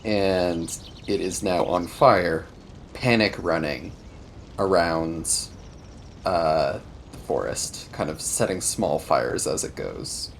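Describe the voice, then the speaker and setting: Low-voiced man with noisy background. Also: expressive, takes it slowly. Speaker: player in a tabletop roleplaying game. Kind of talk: casual improvised in-character play and narration.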